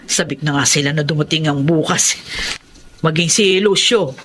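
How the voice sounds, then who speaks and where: A caring middle-aged Filipino wife and mother in a radio drama. The voice is bright and forward, affectionate in manner, over faint background noise. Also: sits low, normal speed. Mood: warm, concerned.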